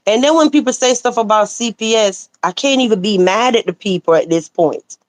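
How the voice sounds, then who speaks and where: A woman livestreaming casual commentary, animated and sassy, answering viewer comments, her voice a little hoarse. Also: fairly high pitch, brisk, no echo. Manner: animated, sassy, talks back to chat comments. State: slightly hoarse. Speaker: livestreamer reading chat.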